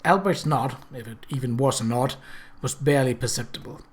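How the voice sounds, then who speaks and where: A man narrates a story from a script in a middle-pitched voice. His reading is expressive and dramatic, in the manner of an audiobook. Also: fairly quick, slightly rough. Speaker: audiobook reader.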